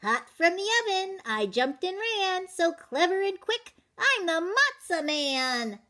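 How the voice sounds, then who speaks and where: A cheeky little runaway cookie man from a children's storybook, acted out by a woman. The voice sits very high and swings widely in pitch. He brags in sing-song rhyme, clever and pleased with himself. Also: very animated, a little slow, pushed and bright, full character voice. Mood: gleeful, teasing. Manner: boastful sing-song rhyming chant. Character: cocky, clever little matzah cookie man, teasing rascal.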